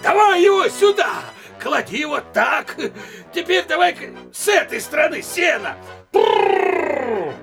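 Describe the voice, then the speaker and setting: An actor playing a lively, boisterous peasant coachman who calls out busily in a classic comedy audio play. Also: very high-pitched, a little slow, creaky, soft background music, heavily acted. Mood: bustling, cheerful. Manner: boisterous, calling out. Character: lively, bustling peasant coachman readying a carriage.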